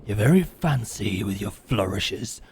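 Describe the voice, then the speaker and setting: A man's voice: lowish voice, animated, unhurried, a little mellow, slight background noise. A man playing a crude, menacing leader of medieval hired killers, sneering and boastful in a fantasy audio drama. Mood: sneering, smug. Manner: sneering, boastful, rough colloquial talk.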